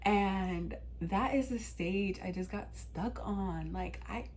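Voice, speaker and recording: A woman's voice: medium pitch, slow pace, a little mellow, slight background noise. A young woman in her twenties from Washington, D.C., telling a personal story to her channel in a candid, chatty way with plenty of "um" and "like". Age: 20s. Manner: candid, chatty, many fillers like "um" and "like". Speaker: vlogger.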